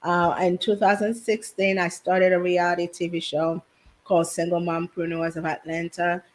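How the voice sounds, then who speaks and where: A woman from Haiti raised in New Jersey, a business owner telling her story softly as a guest on a video call. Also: on the low side, flat delivery, moderate pace. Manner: soft-spoken storytelling with laughs, quiet. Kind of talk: casual interview chat.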